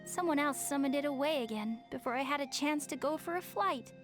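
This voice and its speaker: Woman as a very high-voiced, eager young trainee. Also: fairly quick, over music, lightly acted. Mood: excited. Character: earnest, excitable young woman trainee flyer. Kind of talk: video game character acting.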